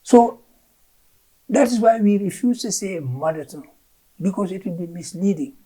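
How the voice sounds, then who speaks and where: A woman's voice: mid-range voice, animated, normal speed, warm tone, slightly rough. A Gambian woman whose first language is Fula, a curriculum official explaining education policy in an interview in an emphatic manner, repeating words as she searches.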